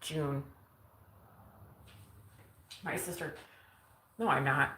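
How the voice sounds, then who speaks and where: A woman's voice: sits low, very slow, echoey room, very noisy. A woman in her forties chatting casually on a live stream at home, teasing herself and laughing often. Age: 40s. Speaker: vlogger. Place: home kitchen.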